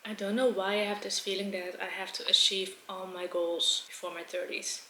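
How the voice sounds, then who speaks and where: A woman's voice: medium pitch, level tone, moderate pace, bright, forceful tone. Woman, 20s, casual vlog host, level delivery, roomy recording with faint noise. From Netherlands. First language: Dutch. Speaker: mukbang video host. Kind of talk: casual vlog chat while eating.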